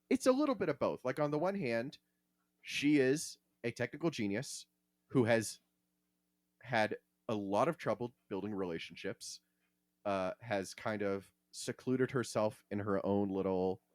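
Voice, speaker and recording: A man's voice: mid-range voice, animated, measured pace, no room sound, clean studio sound. A man hosting a podcast, giving casual, opinionated takes on fiction in an emphatic, salty-tongued way. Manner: emphatic, profane. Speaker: podcast host.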